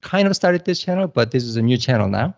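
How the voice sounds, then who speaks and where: A middle-aged man with a Korean accent, Korean his first language, chatting as a guest teacher on a video call, relaxed and playful. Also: mid-range voice, fast talker, gravelly. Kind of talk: casual interview over video chat.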